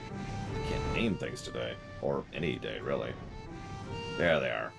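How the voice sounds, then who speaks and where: A man's voice: a bass voice, measured pace, creaky, over music. A man streaming a game, chatting casually with viewers and rambling in a self-deprecating way. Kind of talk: casual live-stream chat while gaming.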